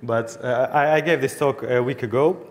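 A man's voice: mid-range voice, normal speed. A young man with a Russian accent giving a technical meetup talk, hesitant and full of fillers and restarts. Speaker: conference speaker. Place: meetup room with audience. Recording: hall reverb, faint ambient noise.

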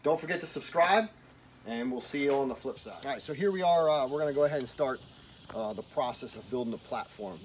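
A man's voice: medium pitch, darkish tone, slight background noise, rough recording. A middle-aged man casually explaining a home project step by step in his garage and yard, filmed on a handheld camera. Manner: chatty, plainspoken, explains step by step. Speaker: DIY vlogger. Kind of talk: casual how-to vlog. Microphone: handheld camera close up.

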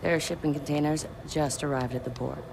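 Female hacker, low-pitched, businesslike, matter-of-fact, slightly irreverent, game briefing, noticeable background noise. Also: fairly even intonation, a little fast, creaky.

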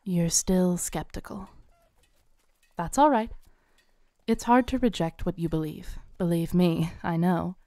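Smooth, coaxing woman fortune teller. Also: fairly low pitch, fairly even intonation, a little slow, long pauses, dry recording, clean studio sound. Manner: soft, coaxing, gently teasing. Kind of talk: scripted roleplay audio.

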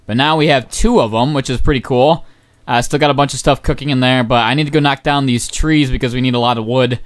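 A young man giving casual, rambling gameplay commentary as a channel host, excitable and swearing often, his voice going hoarse. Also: medium pitch, fast talker. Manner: rambling, excitable, swears, many ums and uhs.

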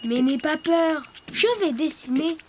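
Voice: high voice, a little slow, heavy background noise. An actor voicing a cheerful, helpful little rabbit boy in a children's educational cartoon, explaining things in an encouraging way. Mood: eager, reassuring. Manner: encouraging, instructive. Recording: music behind the voice.

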